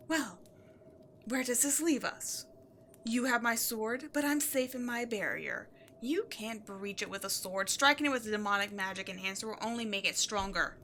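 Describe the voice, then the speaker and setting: Woman playing a high, bright, imperious demon queen. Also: a little hiss or hum. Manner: dramatic, swinging between outbursts and breathy near-whispers. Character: imperious demon queen, exasperated, scheming, seductive villainess. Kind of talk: scripted audio roleplay, theatrical monologue to the listener.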